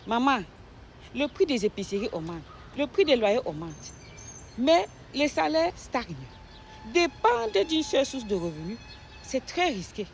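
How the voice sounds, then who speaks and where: A woman from Cameroon, a nurse, making a direct persuasive sales pitch to the listener. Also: big pitch swings, measured pace, creaky, a little hiss or hum. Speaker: nurse promoting an online business.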